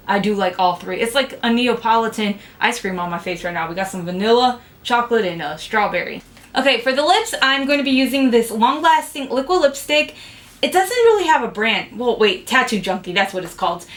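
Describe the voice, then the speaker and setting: A woman's voice: on the high side, a bit bright. A young woman chatting frankly to camera as she reviews cheap makeup, with lots of "like" fillers. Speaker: beauty vlogger.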